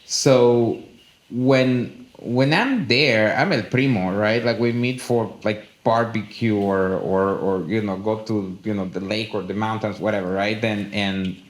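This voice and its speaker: A man's voice: on the low side, unhurried. A man from El Salvador speaking as a panelist at a conference, earnest and candid, often restarting and repeating his words. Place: conference room with live audience. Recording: roomy hall echo.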